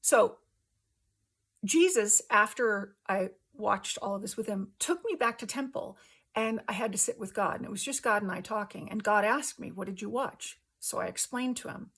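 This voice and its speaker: A woman host giving an earnest spiritual testimony in casual language, speaking emphatically with halting pauses between short phrases. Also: mid-range voice, fairly bright tone, breathy edge.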